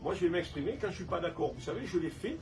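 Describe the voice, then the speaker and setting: A man from Saint-Tropez with a southern French accent, in a casual interview, halting and repeating words, then speaking emphatically. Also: high-pitched, animated, distant mic. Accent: Provençal (southern French). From Saint-Tropez, France. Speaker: restaurant owner and council candidate.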